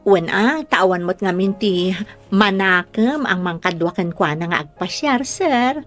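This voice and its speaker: A woman's voice: medium pitch, lively intonation, a little slow, a bit bright, soft background music. An actress playing a cheerful, frank young female caregiver with an Ilocano accent in a radio drama. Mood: cheeky.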